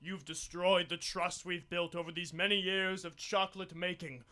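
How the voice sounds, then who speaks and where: A man's voice: high voice, steady tone, moderate pace, pushed and bright. A young man playing an indignant betrayed husband and factory owner, overwrought in a campy radio soap style. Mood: wounded, indignant. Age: young adult. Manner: overwrought, dramatic. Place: small radio studio.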